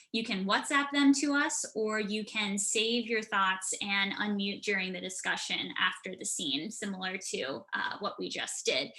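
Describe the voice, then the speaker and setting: A woman's voice: medium pitch, pushed and bright. A young woman hosting an evening of staged readings on a video call, introducing the next scene informally. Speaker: host of a staged reading night.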